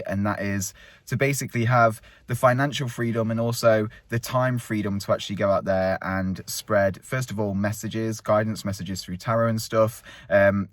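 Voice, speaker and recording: A man in his thirties talks in a loose, rambling, chatty way, like a vlogger on a walk. His voice sits fairly low. The sound is close and dry, with no room around it. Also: dry recording. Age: 30s. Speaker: vlogger host. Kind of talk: casual walk-and-talk vlog. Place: outdoors on a countryside walk.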